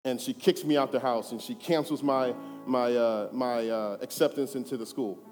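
A man in his thirties giving a personal testimony, earnest and conversational, moving between hushed and emphatic. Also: mid-range voice, steady tone, moderate pace. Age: 30s. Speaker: church speaker giving testimony. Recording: reverberant hall with faint music.

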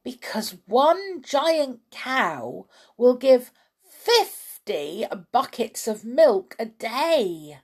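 A woman voicing an excitable, overbearing father in a children's story, exclaiming with bossy, impatient enthusiasm. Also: sits high, slow pace, voice pushed up, exaggerated voice. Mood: exuberant. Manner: exclamatory, bossy, breathlessly eager.

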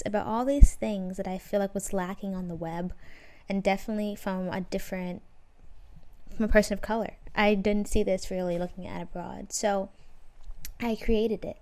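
A woman's voice: mid-range voice, a little mellow. Young woman podcaster, faint music behind. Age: young adult. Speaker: podcast host. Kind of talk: casual podcast intro and interview.

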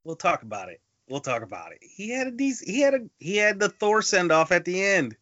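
A man's voice: high-pitched, very animated, a little fast, fairly bright tone, dry recording. A man co-hosting a podcast, chatting casually about movies and telling stories in a conversational way. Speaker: podcast co-host. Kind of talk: casual movie review chat. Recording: dull lo-fi podcast audio.

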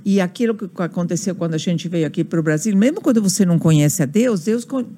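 A woman's voice: fairly low pitch, expressive, fast, warm tone, a little hiss or hum. An older woman from Argentina who speaks Portuguese with an Argentinian Spanish accent, telling stories in a casual interview with many restarts. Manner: storytelling with acted quotes and frequent restarts. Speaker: guest.

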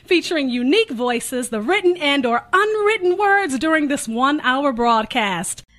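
A woman's voice: high-pitched, lively intonation, normal speed, fairly bright tone. A woman hosting a radio show, introducing it in an emphatic, enthusiastic way. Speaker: host. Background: music behind the voice.

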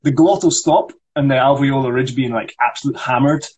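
A man's voice: mid-range voice, brisk, a little mellow, breathy edge. A young Scottish man on a live stream, encouraging and explaining pronunciation step by step. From Scotland. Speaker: Scottish actor teaching accent. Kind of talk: casual live-stream chat. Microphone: phone or webcam on a live stream.

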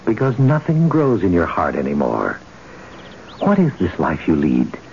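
A low, richly varied male voice gives us an ancient, commanding god of romance. He declaims with solemn, brooding intimacy in old radio-play style, through a muffled recording with clear background noise. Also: huge pitch swings, a little slow, darkish tone, heavily acted. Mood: brooding, probing. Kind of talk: old-time radio drama acting.

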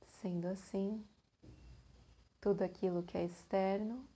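A woman with a Brazilian Portuguese accent leads a guided meditation in a soothing manner, leaving long pauses between phrases. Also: mid-range voice, fairly even intonation, dark tone, slightly rough.

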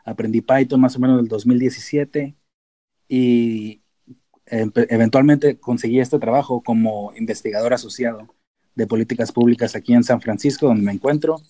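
A man's voice: mid-range voice, moderate pace, dark tone. A man from Tijuana with a northern Mexican accent, talking casually as an interview guest and explaining things informally, with some English terms mixed in. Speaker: podcast guest, data analyst and researcher.

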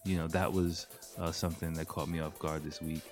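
A man's voice: very deep voice, flat delivery, brisk, faint music behind. A man hosting his own podcast in loose, rambling casual talk, with a stuffy nose from a cold. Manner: rambling, loose, with many ums and jokey sound effects. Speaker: podcast host. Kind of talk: casual solo podcast chat. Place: at home, late at night.

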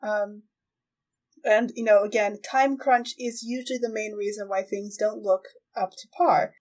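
A woman hosting a podcast in casual conversation, thinking aloud and softening her opinions as she goes. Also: mid-range voice, fairly even intonation, unhurried. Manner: tentative, hedging, thinking aloud. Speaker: podcast host. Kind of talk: casual chat about anime.

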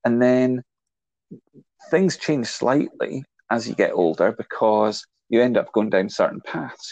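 A man's voice: lowish voice, gravelly, a little mellow, husky, dry recording, lo-fi sound. A man in his forties co-hosting a casual film chat, explaining his ideas at length and teasing his younger co-host. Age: 40s. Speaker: podcast co-host.

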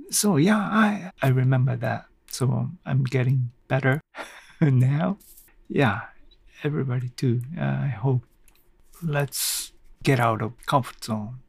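Male solo podcaster, gravelly and dry-sounding, hesitant off-the-cuff rambling. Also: mid-range voice, a little mellow, no echo. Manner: hesitant, rambling, halting with small self-deprecating chuckles. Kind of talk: casual unscripted monologue.

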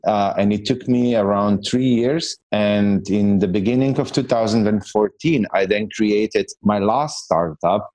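A man's voice: fairly low pitch, a little mellow, no room sound, poor audio. A middle-aged man from Slovenia, a guest in a podcast interview who talks earnestly and often restarts and repeats words. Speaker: guest, business coach.

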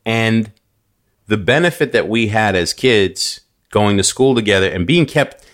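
A man with a very deep, low voice talks casually and animatedly on a podcast. He grew up in New York, and he tells stories with plenty of restarts. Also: unhurried. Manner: animated storytelling, frequent restarts and fillers. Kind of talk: casual conversation.

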